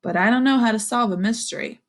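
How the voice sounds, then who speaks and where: A woman's voice: a little high, quick pace, close to an everyday voice. A woman voicing a puzzled, doubtful young boy in an earnest children's storybook read-aloud.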